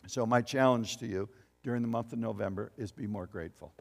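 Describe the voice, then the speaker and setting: Gravelly seventy-something pastor in a reverberant hall. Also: male voice, mid-range voice, a bit bright. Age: 70s. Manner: reads scripture aloud, asks the congregation questions. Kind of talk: sermon. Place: church sanctuary.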